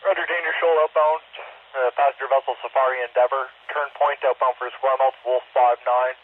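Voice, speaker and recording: A man's voice: medium pitch, steady tone, fairly quick. Man on a tinny marine radio. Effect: VHF radio. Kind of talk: procedural marine radio traffic. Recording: phone-like, fuzzy VHF radio audio.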